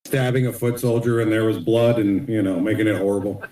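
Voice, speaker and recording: Middle-aged guy chatting loose and rambly, lowish voice, roomy echo. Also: fairly quick. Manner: relaxed, rambling with fillers. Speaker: guest artist. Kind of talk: casual podcast chat.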